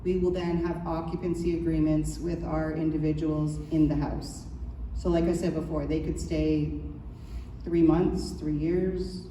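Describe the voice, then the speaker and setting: A woman's voice: low-pitched, little pitch movement, measured pace, warm tone. A woman on a panel speaking about a housing program in a chatty, self-deprecating way. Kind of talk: panel answer. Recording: roomy, distant mic, faint noise.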